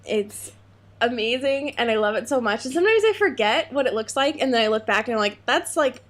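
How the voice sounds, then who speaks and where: A young woman with a fairly high voice chats as a podcast co-host. Her delivery is casual and comedic, full of slang and playful jokes, with some offhand swearing. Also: animated, fairly quick.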